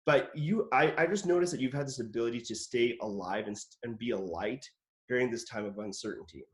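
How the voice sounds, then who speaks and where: A man's voice: mid-range voice, brisk. A man in his late thirties hosting an interview over a video call, chatty and admiring toward his guest. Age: late 30s. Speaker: host, actor. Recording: roomy echoey room.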